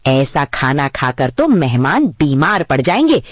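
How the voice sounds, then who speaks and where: A woman's voice: low-pitched, very animated, takes it slowly, slightly rough, no echo, studio quality, exaggerated voice. A woman playing a domineering, fault-finding middle-aged mother-in-law in a cartoon moral story. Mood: scolding.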